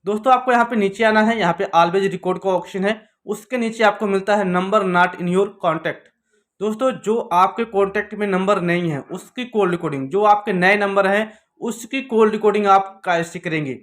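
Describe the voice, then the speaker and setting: A man's voice: high voice, normal speed, a bit bright. A man presents a phone tutorial. He explains step by step, restating each instruction in a repetitive pattern.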